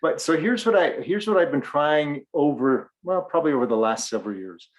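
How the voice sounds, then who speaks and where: A man's voice: on the high side, a little fast. A middle-aged man from Western Canada with a faint Canadian accent, co-hosting a podcast and telling stories while acting out dialogue. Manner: animated storyteller, acts out dialogue. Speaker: podcast co-host. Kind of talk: casual podcast chat.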